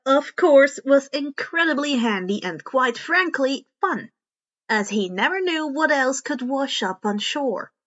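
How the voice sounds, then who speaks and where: A woman reading a story aloud as an expressive narrator. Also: high-pitched, muffled recording. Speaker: podfic narrator reading fan fiction.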